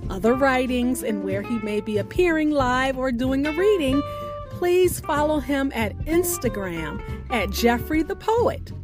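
A woman with a high voice hosts a radio show, reading the closing announcements at an unhurried pace. She enunciates details carefully while guitar music plays behind her. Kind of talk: scripted radio show outro over music.

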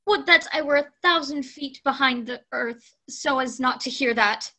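A fretful, guilt-ridden fairy-tale mother, tense and anxious in every line, as a woman reads her. The voice is high and bright. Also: normal speed. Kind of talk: amateur group read-aloud of a fairy tale.

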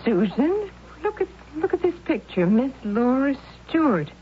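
A slow-spoken, concerned aunt. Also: female voice, sits high, very animated, slight background noise. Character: concerned aunt and loyal confidante. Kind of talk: old-time radio drama acting. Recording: muffled, phone-like old radio recording.

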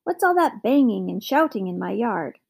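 A woman's voice: medium pitch, big pitch swings, moderate pace, vocal fry, husky, full character voice. A woman voicing a sleepy, snappish, bossy farmer's wife in a storybook. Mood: grumbling. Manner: grumbling, snappish.

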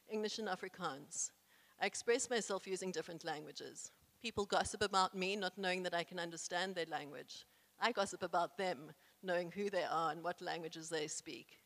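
A young woman from South Africa with a South African accent and isiXhosa as her first language, reading her own essay aloud in an earnest, proud, deliberate way. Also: fairly low pitch, a bit bright. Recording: echoey room with long reverb.

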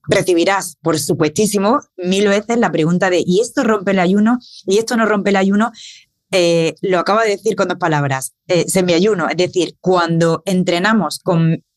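A woman's voice: lowish voice, no room sound, studio quality. A woman hosting a podcast interview, asking questions in a chatty, enthusiastic way. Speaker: podcast host. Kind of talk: casual interview talk.

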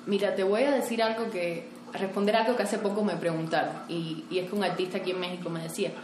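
A woman's voice: mid-range voice, quick pace. Woman in an echoey room. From Cuba. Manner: frank, animated, laughs easily. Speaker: photographer, interview guest. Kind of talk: relaxed conversational interview. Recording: echoey room, faint ambient noise.

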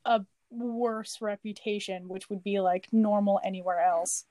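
A woman's voice: mid-range voice, steady tone, a little slow, dark tone, no echo. A young woman from Southern California hosting a podcast, chatting casually and animatedly with many fillers. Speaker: podcast host. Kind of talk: casual chat.

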